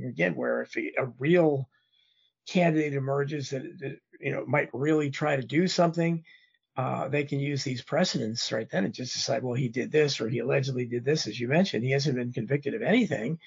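Hoarse, gravelly man with a failing voice. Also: medium pitch, muffled recording. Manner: rambling, halting with restarts. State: losing his voice, throat-clearing. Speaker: guest commentator. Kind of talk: political interview.

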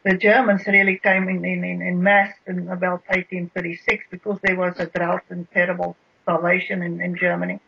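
A South African guest with an Afrikaans accent, explaining history in a halting way with many repeated words. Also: mid-range voice, little pitch movement, gravelly, slightly rough. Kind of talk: radio interview, explaining history. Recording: dull lo-fi radio sound.

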